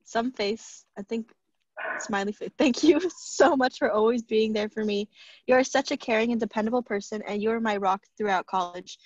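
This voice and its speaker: A woman's voice: fairly high pitch, moderate pace, no echo. A young woman casually reading tributes aloud over a video call. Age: young adult. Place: indoor room at home on a video call. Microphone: video call laptop mic. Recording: dull, lo-fi video call audio.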